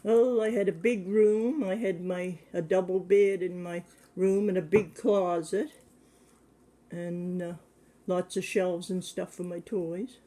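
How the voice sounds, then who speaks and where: A man in his seventies, answering questions about his childhood in a plain, hesitant way with many pauses. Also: sits high, flat delivery, mellow. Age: 70s. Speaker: interviewee. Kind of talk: casual recollection.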